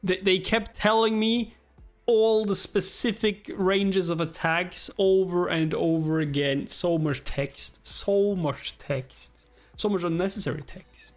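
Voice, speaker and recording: Higher-pitched man reacting casually over music. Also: fairly even intonation, takes it slowly. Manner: exclamatory, informal, mildly profane. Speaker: reactor reading a webtoon aloud on a livestream. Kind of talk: casual live reaction and read-along. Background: background music.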